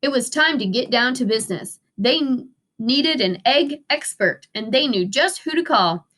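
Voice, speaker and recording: A woman's voice: high voice, animated, normal speed, fairly bright tone. A woman teacher reading a children's story aloud expressively, with dramatic emphasis for young listeners.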